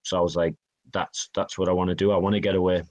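A man from the of England with a low voice talks casually in an interview. He tells long anecdotes and quotes other people's lines back in dialogue. His tone is easygoing and self-deprecating. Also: flat delivery, quick pace. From Northeast England. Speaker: guest, hockey player.

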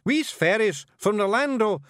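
Tiny male fairy warrior, Scottish accent, brash, loud, high-pitched, excitable, storybook character. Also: measured pace, no echo, clean studio sound. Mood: blustering. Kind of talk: audiobook character voice.

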